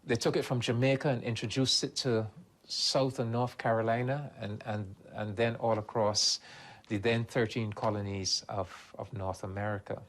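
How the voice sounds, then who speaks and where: A man with a Barbadian accent speaking at an ordinary pitch. He explains in a measured, emphatic, lecturing way, like a teacher in an interview. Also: fairly even intonation.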